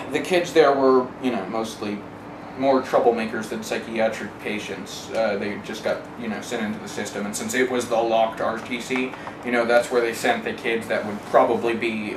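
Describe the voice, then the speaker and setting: A man recounts personal experience in a candid, wry manner, with some profanity and analytical digressions. He sits away from the microphone in an echoey room. Clear noise runs behind the voice. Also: medium pitch. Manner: wry, sardonic, mildly profane; discursive, analytical asides. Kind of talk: candid first-person oral history. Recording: roomy, distant mic, clearly noisy.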